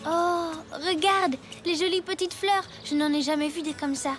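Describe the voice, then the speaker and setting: Gentle little cartoon girl, high voice, sweetly teasing and sure of herself, faint music. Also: moderate pace. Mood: wistful. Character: Ramatou, sweet, self-assured young schoolgirl. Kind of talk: French animated cartoon dialogue.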